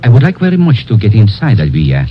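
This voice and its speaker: An actor playing a weary, courteous, crafty Latin police lieutenant with a Spanish accent, speaking formally and deliberately in old-time radio drama style. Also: male voice, lowish voice, huge pitch swings. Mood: sly, hinting. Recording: muffled old radio recording, faint music.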